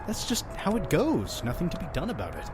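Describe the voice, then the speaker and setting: Man playing a theatrical innkeeper, very animated pitch. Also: brisk, noisy background. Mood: breezy, insistent. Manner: effusive, talking over his guest. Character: chatty, theatrical, pushy male innkeeper of a mysterious inn. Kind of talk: audio drama acting.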